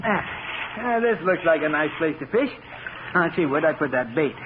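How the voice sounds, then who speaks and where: An actor playing a devoted husband in a 1940s radio drama, earnest and theatrical, speaking tenderly. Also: male voice, on the high side, animated, brisk, natural voice. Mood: cheerful. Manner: earnest, theatrical, endearments to his wife. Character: devoted husband on a boating anniversary trip. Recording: scratchy, tinny 1940s radio recording, heavy noise.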